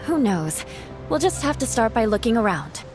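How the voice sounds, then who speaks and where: A woman's voice: fairly high pitch, sing-song, moderate pace. A woman playing a proud, cautious young knight in a video game cutscene, composed and formal. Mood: matter-of-fact. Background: background music.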